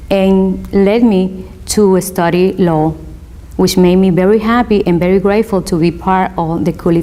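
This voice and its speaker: A woman with a Spanish accent, a native Spanish speaker and law student, giving an earnest, motivational interview in halting non-native English. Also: on the low side, a little mellow. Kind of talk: interview, personal testimony.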